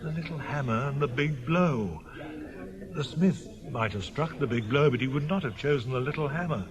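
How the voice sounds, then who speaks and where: A man's voice: mid-range voice, moderate pace, dull audio, a crowd in the background, lightly acted. An actor playing an earnest, anxious village clergyman in a British radio drama, speaking formally and insistently. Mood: urgently insistent.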